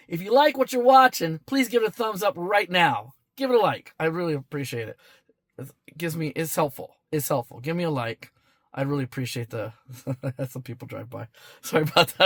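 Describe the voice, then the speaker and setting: A man's voice: medium pitch, no echo. A man getting over a chesty cold, talking casually and hesitantly to camera as a vlog host, often circling back and repeating himself. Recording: clear background noise, on-location ambience.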